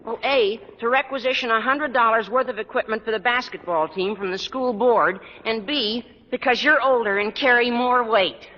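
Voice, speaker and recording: An actor playing an earnest teenage schoolboy in an old radio sitcom, squeaky and over-elaborate, stammering as he goes. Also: normal speed, hardly any pauses, background noise. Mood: sheepish persuading. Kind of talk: 1940s-50s radio sitcom acting. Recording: muffled old radio recording with studio audience.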